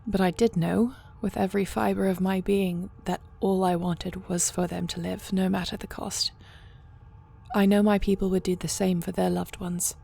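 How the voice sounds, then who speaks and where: A woman playing a resolute, noble dragon-shifter queen in a fantasy audio roleplay, speaking earnestly and formally in a breathy, husky voice. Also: medium pitch, level tone, warm tone. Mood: solemn, resolute. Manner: earnest, measured, formal phrasing.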